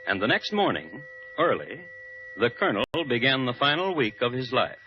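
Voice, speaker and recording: A man narrating a scripted old-time radio drama as host, wry, measured and formal. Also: mid-range voice, sing-song. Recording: dull vintage radio recording, music behind.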